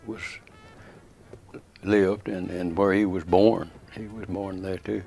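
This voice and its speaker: A man, recalling his family's past in halting speech, searching for words. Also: sits low, fairly even intonation, creaky, warm tone, slightly rough. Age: 80s. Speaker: interviewee. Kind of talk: oral history reminiscence.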